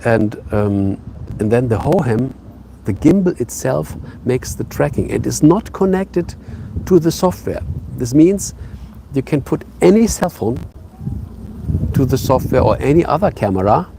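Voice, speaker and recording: Man, English, slow, rough-edged voice; halting casual streamer talk from a phone outdoors. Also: mid-range voice, animated, mellow, a little hiss or hum. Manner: hesitant, halting, many fillers and restarts. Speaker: live streamer. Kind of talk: casual live stream chat. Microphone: phone on a tripod.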